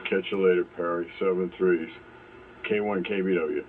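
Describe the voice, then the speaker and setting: A man's voice: sits low, steady tone, moderate pace. A man chatting casually as an amateur radio operator over an AM shortwave transmission. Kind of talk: casual technical chat over ham radio. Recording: phone-like AM radio with faint noise.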